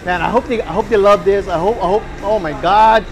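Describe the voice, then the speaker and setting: Man, 40s, high-pitched, upbeat chef, restaurant kitchen, faint music behind. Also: fairly even intonation, fairly quick, low-quality recording. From Hawaii. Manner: upbeat, hypes the food to viewers. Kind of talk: cooking demonstration.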